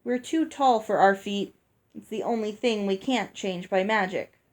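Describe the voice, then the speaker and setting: A woman reading aloud and voicing a gruff older fairy woman who talks bluntly and scolds. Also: mid-range voice, unhurried, natural voice. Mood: brusque. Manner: brusque, scolding. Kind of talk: read-aloud storybook dialogue.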